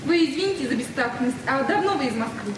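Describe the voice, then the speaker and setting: Fast, high-pitched gushing girl student. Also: lively intonation. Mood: eager, polite. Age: young adult. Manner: girlish, effusive, coaxing. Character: gushing, flighty twenty-year-old drama student daughter. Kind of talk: 1970s Soviet radio drama acting. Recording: noisy old radio recording, reverberant, distant.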